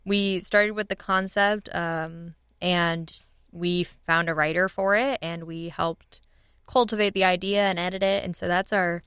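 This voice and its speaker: Upbeat young-sounding woman with a low, clear voice. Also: fairly even intonation, unhurried, a bit bright, dry recording, clean studio sound. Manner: upbeat, enthusiastic, informal. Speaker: guest, audio drama writers' room leader. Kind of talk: casual enthusiastic interview chat.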